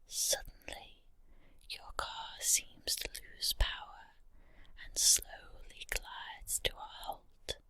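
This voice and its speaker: A woman whispering a scripted ASMR guided story in a breathy, unvoiced voice, in short deliberate phrases with pauses. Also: pushed and bright, no echo. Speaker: ASMR narrator.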